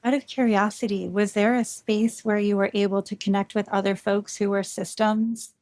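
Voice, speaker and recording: A woman's voice: mid-range voice, little pitch movement, moderate pace, darkish tone, no echo. A woman hosting a podcast, reading a scripted intro and then interviewing a guest in a welcoming, encouraging way. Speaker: podcast host.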